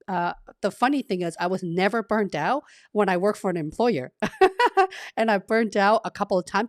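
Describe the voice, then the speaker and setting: A woman from Vietnam, talking as a career coach to her live-stream audience in an energetic, effusive way with frequent restarts. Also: medium pitch, no room sound, clean studio sound.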